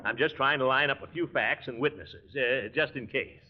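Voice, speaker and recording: A man's voice: mid-range voice, normal speed, close to an everyday voice. An actor playing a blustering, easily exasperated middle-aged man in a 1940s radio comedy. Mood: wheedling. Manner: blustery, chuckling, easily riled. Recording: dull 1940s radio recording.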